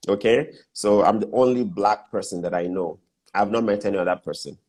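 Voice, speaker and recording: Emphatic Nigerian man, low voice. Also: a little fast, a little mellow. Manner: emphatic, lists steps and repeats key points. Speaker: guest business mentor. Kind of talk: casual interview talk.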